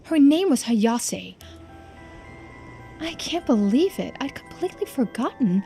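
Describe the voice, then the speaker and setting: A woman's voice: on the high side, big pitch swings, measured pace, dark tone, soft background music. A woman voicing a long-lived, wistful keeper of mermaid lore in a game, explaining in a musing way. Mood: remembering, wistful.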